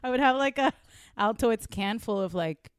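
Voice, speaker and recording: Clear-voiced woman from Venezuela, chatty storyteller. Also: a little high, moderate pace, no echo, clean studio sound. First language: Spanish. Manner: animated, profane, giggly, self-mocking. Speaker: podcast guest, comedian. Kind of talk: casual conversational storytelling.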